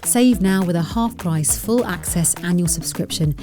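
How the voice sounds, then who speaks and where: A woman's voice: fairly low pitch, normal speed, music in the background. A woman doing a scripted promotional voice-over for an advert.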